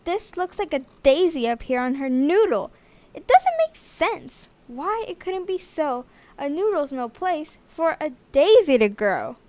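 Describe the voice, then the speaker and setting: Lady doing an eager little schoolboy, high pitched, outspoken and insistent, storybook style. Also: big pitch swings, a little slow, a little mellow, dry recording. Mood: puzzled.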